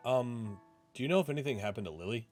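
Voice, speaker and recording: A man's voice: medium pitch, animated, quick pace, a little mellow, close to an everyday voice. Man voicing an informal, concerned office boss. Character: friendly, concerned office boss in a visual novel. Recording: music playing behind the voice.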